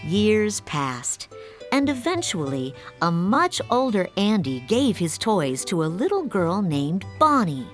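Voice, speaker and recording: A woman narrating a scripted children's storybook in an expressive, carefully paced way. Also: medium pitch, slow. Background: music.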